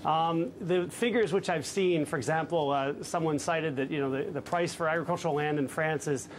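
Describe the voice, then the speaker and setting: A man in his thirties, a fund manager in a live news interview, speaking in a measured way with many fillers, on a lapel mic in an office. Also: on the high side, a little hiss or hum, poor audio. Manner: measured, hesitant with frequent fillers. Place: office with trading desks behind.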